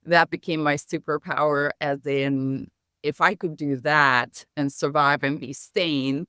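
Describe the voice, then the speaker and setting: Smooth, articulate American-accented woman, native Hungarian. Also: deep voice, steady tone, measured pace, pushed and bright, dry recording, clean studio sound. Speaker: interview guest, marketing executive. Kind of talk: conversational interview storytelling.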